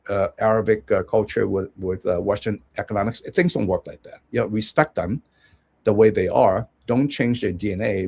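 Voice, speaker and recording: A man's voice: on the low side, darkish tone, husky. A man from Hong Kong, a guest analyst giving rambling conversational commentary with many restarts and check-ins.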